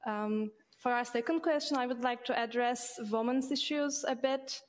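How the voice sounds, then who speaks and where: A woman's voice: on the high side, normal speed. A young woman moderating a panel discussion on a studio stage, reading friendly scripted introductions through a headset mic.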